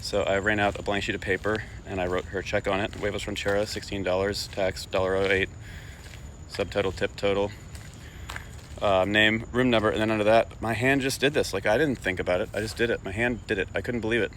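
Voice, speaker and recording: A man's voice: fairly low pitch, fairly even intonation, brisk, a bit bright, slight background noise. A man casually telling a personal anecdote, rambling and self-deprecating, acting out dialogue. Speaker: storyteller.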